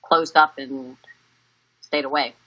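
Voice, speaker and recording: An American woman in her early fifties giving candid, halting interview answers. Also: very deep voice, steady tone, sharp tone. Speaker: interviewee, family member. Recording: dull, lo-fi sound.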